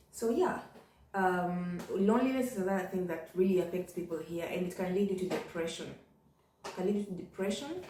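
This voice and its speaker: A woman's voice: fairly low pitch, a little mellow, roomy sound, far from the mic, slight background noise, low-quality recording. A middle-aged woman, talking candidly in a casual vlog and often repeating "you know". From Kenya. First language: Ugandan. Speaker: vlogger. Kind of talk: casual reflective monologue.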